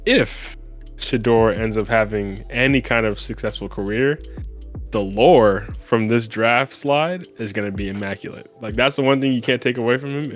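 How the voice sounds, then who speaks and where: A man hosting a podcast, talking through sports news in a casual, conversational and explanatory way with emphatic repetition. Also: medium pitch. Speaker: podcast host.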